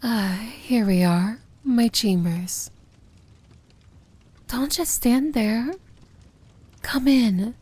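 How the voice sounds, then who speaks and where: A woman playing a seductive, tender yet commanding evil princess in an intimate fantasy ASMR roleplay, speaking softly and often whispering. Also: a little high, a little hiss or hum. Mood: inviting, playful. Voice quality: soft, breathy, often whispered. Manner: slow, intimate, gently commanding.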